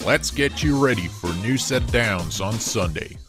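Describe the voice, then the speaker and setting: A man's voice: mid-range voice, expressive, unhurried. A man reading the scripted intro of a sports podcast in an announcer style. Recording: intro over loud music.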